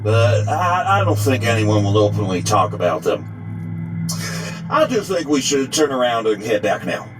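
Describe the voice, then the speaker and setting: A man's voice: medium pitch, sing-song, normal speed, over music, natural voice, poor audio. A man playing an inquisitive, cautious tunnel explorer in an audio drama. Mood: cautious, musing.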